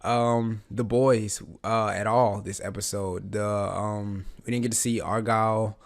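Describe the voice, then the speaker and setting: A man with a raspy voice, just getting over the flu, talking through a TV episode in a rambling, eager way with lots of fillers. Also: fairly low pitch, little pitch movement, a little slow. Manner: rambling, many fillers and restarts, eager fan. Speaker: reaction channel host. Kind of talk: casual reaction commentary.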